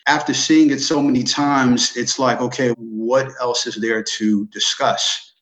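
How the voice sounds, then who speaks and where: A man's voice: mid-range voice, fairly even intonation, measured pace, a bit bright, low-quality recording. A man with an American accent recounting personal experiences as a panelist.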